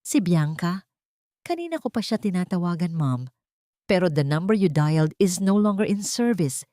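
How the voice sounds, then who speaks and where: A woman's voice: on the low side, lively intonation, normal speed, warm tone, no room sound, lightly acted. Female narrator as a weary, bitter grown man reproaching his family, audiobook melodrama, dry studio sound. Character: bitter, resigned adult son of fallen family.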